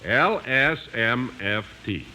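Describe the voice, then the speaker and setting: An actor playing a jovial, doting fat husband who gets theatrically exasperated, in 1950s radio comedy style. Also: male voice, mid-range voice, lively intonation, takes it slowly, bright, forceful tone, full character voice. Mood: playfully exasperated. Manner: sugary endearments, theatrical exasperation. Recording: noisy 1950s radio broadcast recording.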